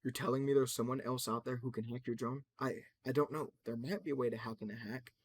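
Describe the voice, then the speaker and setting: A man's voice: mid-range voice, fairly even intonation, fast talker, dry recording, lightly acted. A man voicing a tense, defensive young male hacker in a plain voice.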